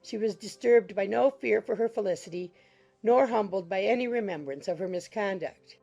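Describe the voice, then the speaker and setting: Creaky-voiced woman narrating a classic novel. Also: medium pitch, moderate pace, poor audio. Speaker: audiobook narrator. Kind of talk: scripted literary narration. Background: soft music.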